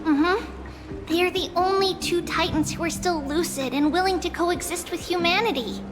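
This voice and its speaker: Very high, gentle girlish game guide. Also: moderate pace. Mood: careful, subdued. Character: gentle caring girl-like guide, female, encouraging. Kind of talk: video game voice acting. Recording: long reverb, music behind.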